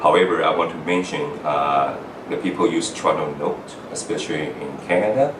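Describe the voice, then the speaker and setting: A man's voice: very deep voice, reverberant, distant mic, noisy background. A middle-aged man from Taiwan, a foreign-trained doctor, giving an earnest account of his path to residency, with many "uh" fillers and restarts. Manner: halting, frequent "uh" fillers and restarts. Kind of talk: earnest personal account to camera.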